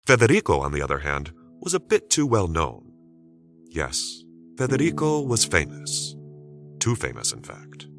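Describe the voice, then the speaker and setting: A man narrating a scripted dramatic story deliberately, with suspenseful pauses. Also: low-pitched, huge pitch swings, gravelly, music in the background.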